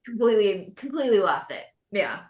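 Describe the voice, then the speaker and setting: A woman guest on a podcast telling a story in a lively, self-deprecating way. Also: mid-range voice, a little fast. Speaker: podcast guest, money speaker, former performer. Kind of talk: casual storytelling chat. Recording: echoey room.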